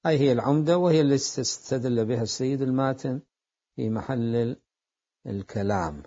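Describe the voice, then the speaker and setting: Deliberate man lecturing, dull lo-fi recording. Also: mid-range voice, mellow. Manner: deliberate, repeats phrases to explain. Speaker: seminary jurisprudence teacher.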